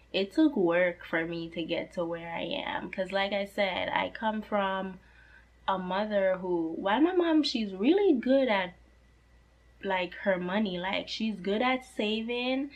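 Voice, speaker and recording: A woman in her twenties with a slightly hoarse voice, talking earnestly and casually to camera about personal finance, leaning on fillers like "like" and "you know". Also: mid-range voice, measured pace, highs cut off. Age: 20s.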